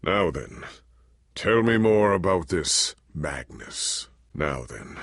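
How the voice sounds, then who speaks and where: An actor playing a weary, confused, humbled warrior hero in a video game, raspy, with formal and earnest dramatic phrasing. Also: male voice, sits low, very animated, slow, fairly bright tone, no echo, studio quality, exaggerated voice. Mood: polite, curious. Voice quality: raspy, gravelly.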